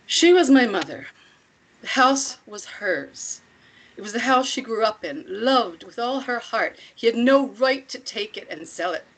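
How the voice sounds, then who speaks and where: A woman playing an older, self-assured commune matriarch in a script table read, speaking in a measured, deliberate way with pointed rhetorical questions. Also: a little high, animated, poor audio. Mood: grave, reflective.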